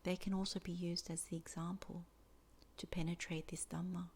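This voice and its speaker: Female meditation teacher, slightly low register, soft and breathy at phrase ends, measured and deliberate delivery. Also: flat delivery. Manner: slow, deliberate phrasing with many pauses. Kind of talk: guided meditation instruction.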